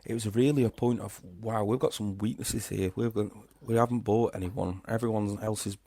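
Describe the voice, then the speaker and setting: Low-ish liverpool accent guy, chatty football podcast cohost, laughs at himself a lot. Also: fairly even intonation, normal speed, mellow, no room sound. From Crewe, England. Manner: chatty, laughs often, self-deprecating. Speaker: podcast co-host. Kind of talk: casual football chat.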